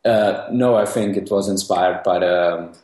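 A young man from Austria with a very low voice, explaining technical work in a casual interview. He hesitates often, restarting sentences and filling pauses. The recording carries a noticeable echo of the room. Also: steady tone, darkish tone. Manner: hesitant, many restarts and fillers. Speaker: guest developer. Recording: echoey room.

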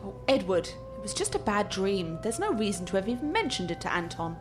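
A woman's voice: medium pitch, very animated, brisk, lightly acted. An actress playing an intense, troubled, genteel young lady in a horror radio play, speaking in a theatrical and formal way. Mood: fascinated. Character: intense, troubled genteel girlfriend haunted by nightmares. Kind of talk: dramatic radio play acting. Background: music.

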